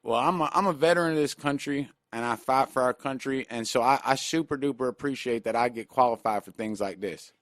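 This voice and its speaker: A man's voice: mid-range voice, no echo, clean studio sound, close to an everyday voice. A radio host playing a forgetful older army veteran who stumbles and halts over his own age and birthday on a prank call. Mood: muddled. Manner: halting, confused, stumbling over numbers.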